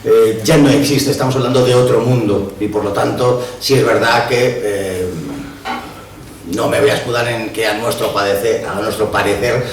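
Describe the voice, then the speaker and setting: A man's voice: mid-range voice, fairly even intonation, moderate pace, vocal fry, a little hiss or hum. Blunt older man, in an echoey room. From Madrid. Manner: blunt, scornful and nostalgic, with frequent hesitations. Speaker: author. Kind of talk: book presentation talk. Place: bookshop event room. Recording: roomy echo from a distant mic.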